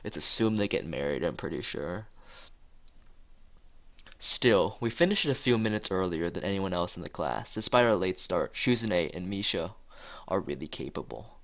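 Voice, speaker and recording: A man's voice: low-pitched, fairly bright tone. A man streaming a visual novel, reading it aloud and rambling hesitantly in casual asides. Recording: music playing behind the voice.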